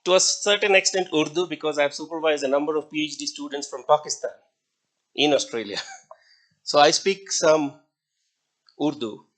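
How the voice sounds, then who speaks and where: A man's voice: a little high, moderate pace, pauses often, a bit bright. A middle-aged man with an Indian English accent, a native Hindi speaker, giving a classroom lecture, telling stories and putting questions to the class with small chuckles. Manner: asks the class questions, chuckles between points.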